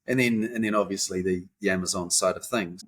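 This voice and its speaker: A man's voice: low voice, steady tone, brisk, fairly bright tone. A man hosting a podcast interview, asking questions with frequent encouraging affirmations. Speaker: podcast host.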